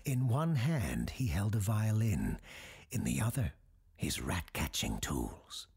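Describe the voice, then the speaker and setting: An actor playing a grave, scholarly doctor who recounts dark legends in a horror audio drama, husky and ominous, with deliberate dramatic pauses. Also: male voice, on the low side, wildly swooping pitch, heavily acted. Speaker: character host telling a tale.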